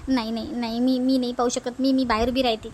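A woman voicing a timid, soft-hearted young mother in a cartoon, faltering and repeating herself, with a Vidarbha Marathi accent. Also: fairly high pitch, level tone, quick pace, background noise, voice pushed down, lightly acted. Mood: frightened.

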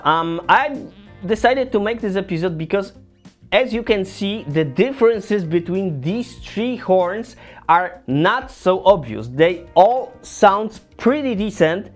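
A man in his thirties from Poland with a Polish accent, a saxophone teacher giving a casual, earnest gear review in halting non-native English, in a small home studio on a camera mic a few feet away. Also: high-pitched.

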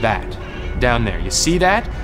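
An American actor playing a smug, fanatical militant leader in a game motion comic, taunting and calmly menacing. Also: male voice, mid-range voice, measured pace, over loud music. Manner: smooth-talking, taunting.

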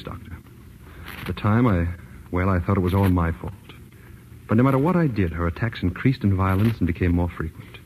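A quick, fairly low-pitched man's voice of an actor plays a resentful kept husband in a 1940s radio drama. He is earnest and pleading, with some hiss from the old recording. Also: a little mellow, natural voice, rough recording. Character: frustrated, kept husband of a rich wife.

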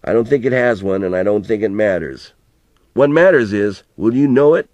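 A man's voice: lowish voice, lively intonation, normal speed, a little mellow, dry recording, muffled recording, natural voice. A man doing a cussing old country grandpa. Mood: warm. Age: older. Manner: folksy rural drawl, cussing. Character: old country grandfather, coarse, wheezing, wry.

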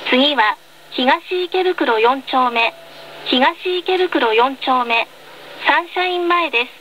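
A woman's voice: high voice, lively intonation, takes it slowly, pushed and bright. A woman reading scripted tram announcements in a polite, carefully enunciated manner. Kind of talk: scripted recorded onboard announcement. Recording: noisy field recording of tram speaker. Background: tram running noise.

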